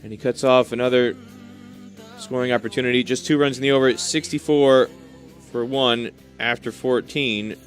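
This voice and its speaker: A man's voice: medium pitch, steady tone, moderate pace, faint music behind. A man doing live cricket commentary in an enthusiastic, talkative way, explaining things as he goes. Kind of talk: live sports commentary with chatty asides.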